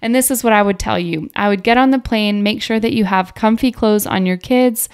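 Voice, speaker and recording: A woman's voice: medium pitch, fairly quick, clear, resonant tone. A woman in her thirties co-hosting a podcast, giving casual, conversational advice close to a tabletop microphone. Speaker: podcast co-host. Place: small indoor room at a table. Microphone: tabletop podcast microphone on stand.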